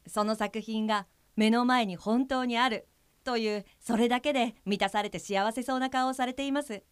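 A woman playing a polite, frank guide for blind people in an audio drama, speaking in a measured, matter-of-fact way with a firm edge. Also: fairly high pitch, fairly even intonation, clear, resonant tone, dry recording, natural voice. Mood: earnest.

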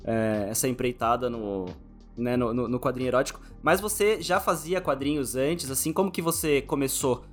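A man's voice: mid-range voice, moderate pace, soft background music. A Brazilian man hosting a podcast interview in an informal, chatty, slangy way.

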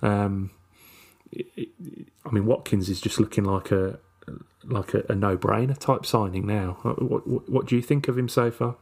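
A man's voice: on the low side, fairly even intonation, normal speed, creaky, darkish tone, breathy edge. A man co-hosting a casual football chat, speaking hesitantly with many fillers and repeated words. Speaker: football podcast co-host. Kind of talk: casual match review chat.

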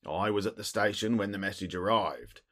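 A man voicing a stout, self-important middle-aged police detective in an audiobook, with a muffled, husky, wheezy voice and blustering, clipped phrases. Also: fairly low pitch, fairly quick, a bit bright, lightly acted. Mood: brisk, bluff. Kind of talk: audiobook character voice.

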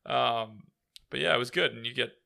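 A man hosting a podcast, chatting casually in a slightly raspy voice and rambling through a story with many fillers. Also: medium pitch, normal speed, sharp tone. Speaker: podcast host. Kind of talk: casual chat.

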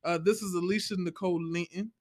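An American man in casual group chat as a play cast member, excitable and repeating phrases for emphasis. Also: sits high, quick pace.

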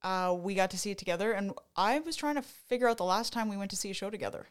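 A woman's voice: mid-range voice, fast talker, smooth. Woman co-host from Canada, enthusiastic casual talker, mid-range voice, easygoing podcast banter. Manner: chatty, enthusiastic, trails off mid-sentence. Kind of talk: casual chat.

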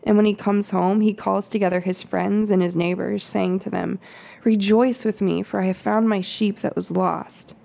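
A woman's voice: mid-range voice, fairly even intonation, moderate pace, vocal fry, mellow. A woman, a children's pastor, talking casually on a podcast and reading scripture aloud, with ums and laughing asides. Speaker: kids' pastor. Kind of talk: casual podcast Bible study.

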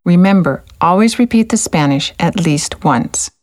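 A woman reading scripted narration for a language course in a calm, encouraging, measured way. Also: on the low side.

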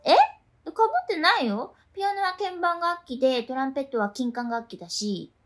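A woman's voice: high-pitched, expressive, moderate pace, a bit bright. An actress playing an oblivious, unflappable schoolgirl who speaks earnestly and breezily in a comedic radio drama. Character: unflappable, airy schoolgirl telling school ghost stories.

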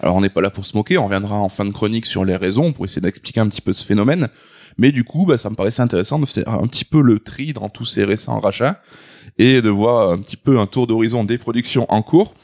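A man with a cold, talking through a stuffy nose in a hoarse voice, presenting a video game news segment on a podcast. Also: lowish voice, fast talker, a little mellow. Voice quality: hoarse, husky. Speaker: co-host, columnist.

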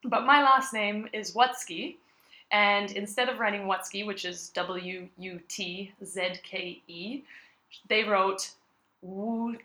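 A woman's voice: medium pitch, fairly even intonation, takes it slowly, pushed and bright, reverberant. A woman co-hosting a podcast, chatting casually and teasing her friend with lots of giggles, recorded in a cold apartment full of moving boxes. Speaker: podcast co-host. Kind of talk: casual chat.

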